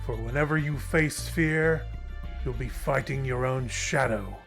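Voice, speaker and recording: An actor playing a stern, solemn mentor with a commanding manner in a video game cutscene. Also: male voice, medium pitch, unhurried, a bit bright. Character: stern male mentor in combat philosophy. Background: music.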